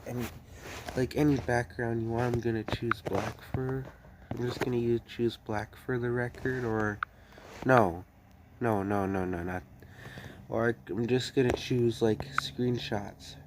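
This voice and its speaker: A man's voice: medium pitch, measured pace. A man giving a casual tutorial in a hesitant way, often restarting and repeating his phrases. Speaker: tutorial host. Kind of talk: casual screen-recording tutorial. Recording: loud background noise, clicking.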